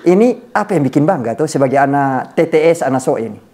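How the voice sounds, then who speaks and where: Interview host, man, slightly high voice with a dark tone. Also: animated, fast. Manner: frequent murmured agreement. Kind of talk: casual interview chat.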